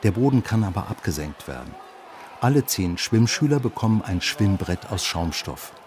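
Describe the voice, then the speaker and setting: A man's voice: deep voice, very animated, normal speed, a crowd in the background. A man narrating a scripted reportage as a reporter. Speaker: reporter narrating a radio feature.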